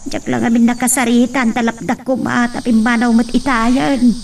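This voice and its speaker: A woman's voice: on the high side, level tone, normal speed, creaky, recorded from a distance, a little hiss or hum. An actor playing a worried middle-aged mother warning her daughter, in a radio drama.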